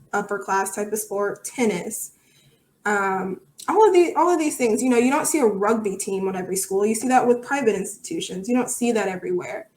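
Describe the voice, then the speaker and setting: A woman's voice: mid-range voice, darkish tone. A woman answering interview questions conversationally as a podcast guest, thinking aloud in an earnest, reflective way. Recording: roomy, echoey sound.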